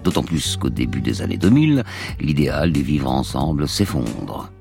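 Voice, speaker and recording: A man narrating a radio documentary in a measured, storytelling way as the show's host. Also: very deep voice, sing-song, creaky, a little mellow, soft background music.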